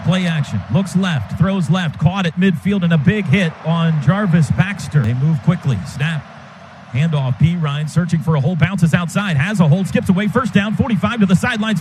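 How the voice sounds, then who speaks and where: A man doing live radio play-by-play of a football game, quick clipped calls that build to shouts on big plays. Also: high-pitched. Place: stadium radio booth. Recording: radio broadcast with loud crowd noise.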